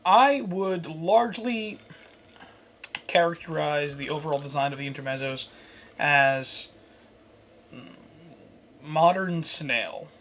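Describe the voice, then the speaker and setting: Higher-voiced man hosting a casual review. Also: slow, long pauses, fairly bright tone, a little hiss or hum. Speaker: host and reviewer. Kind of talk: casual scripted product review with off-the-cuff banter.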